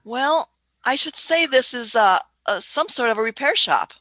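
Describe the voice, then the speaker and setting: A woman's voice: fairly high pitch, expressive, moderate pace, bright, forceful tone, dry recording, poor audio. A woman playing an eccentric, curious elderly time traveller who mutters and hums while she thinks, in an amateur radio play. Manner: muttering hmms, musing, hesitant restarts.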